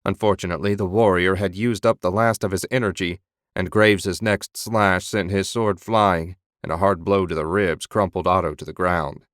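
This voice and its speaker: A man narrating a fantasy audiobook in measured storytelling. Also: low voice, fairly even intonation, a bit bright, no echo, studio quality.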